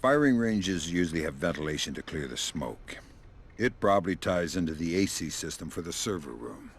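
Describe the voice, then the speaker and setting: An actor playing a dry-witted older male covert agent in a video game, speaking in a wry, deadpan manner. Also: sits low, normal speed, a little hiss or hum. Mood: dry, unhurried.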